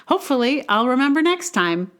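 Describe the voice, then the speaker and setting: A woman's voice: sits high, very animated, fairly quick, fairly bright tone, clear, resonant tone. Conversational woman with a wry delivery. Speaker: podcast host and audio drama producer. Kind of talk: personal essay read aloud, then casual aside.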